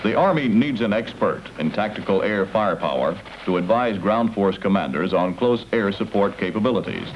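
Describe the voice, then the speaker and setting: A man reading scripted 1960s military documentary narration in a formal, authoritative way. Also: deep voice. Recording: dull, noisy vintage film soundtrack.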